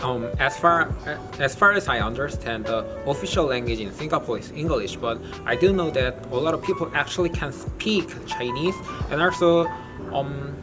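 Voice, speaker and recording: A man from Korea answers a street interview in a hesitant, filler-heavy way at a middle pitch. A music bed plays clearly under his voice. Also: normal speed.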